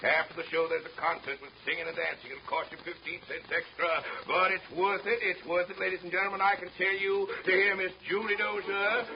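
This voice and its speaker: An actor playing an excitable, folksy showboat captain, blustering and pitching like a huckster in 1930s radio style. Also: male voice, brisk, hardly any pauses. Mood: showman's hype. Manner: blustering, folksy, showman's patter. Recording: noisy, dull 1930s radio recording.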